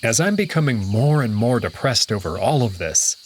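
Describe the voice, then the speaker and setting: A man's voice: mid-range voice, animated, moderate pace, a little hiss or hum. A man giving reflective first-person narration of a visual novel, voicing its lead character.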